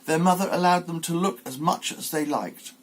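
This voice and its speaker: A man's voice: a little high, normal speed. A man narrating a children's fairy tale expressively as a volunteer reader.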